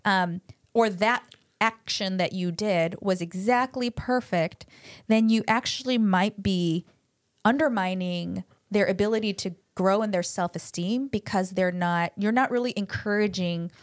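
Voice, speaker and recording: A woman's voice: medium pitch, measured pace, dry recording, clean studio sound. A woman from the United States, co-hosting a podcast and giving chatty parenting advice full of "like" fillers. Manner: chatty, many "like" fillers, voices quotes animatedly.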